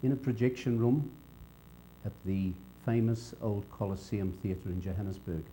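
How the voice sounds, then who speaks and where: An older man with a South African accent recalls his working life in an interview, speaking in a measured, halting way. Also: warm tone. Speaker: interviewee, veteran cinema cameraman. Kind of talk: on-camera reminiscence. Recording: dull low hum, faint background noise.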